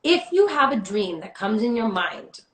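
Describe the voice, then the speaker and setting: A woman's voice: a little high, very animated, normal speed, roomy sound. A woman recording artist singing and rapping in a home studio, switching into Hindi lines and vocal percussion, then chatting casually.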